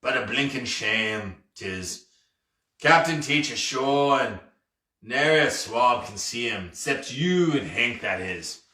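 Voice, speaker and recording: A man voicing the sly, blustering ghost of a burly pirate captain in a storybook, with archaic pirate dialect and deliberate phrasing. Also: mid-range voice, animated, bright, forceful tone, voice pushed down. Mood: mournful. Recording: roomy, echoey, distant mic.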